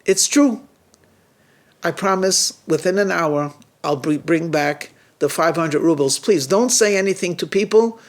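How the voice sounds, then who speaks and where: A man's voice: fairly high pitch, a little slow, lightly acted. A man voicing a cold-blooded, lying businessman in a told story, evasive and falsely reasonable. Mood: cornered, pleading.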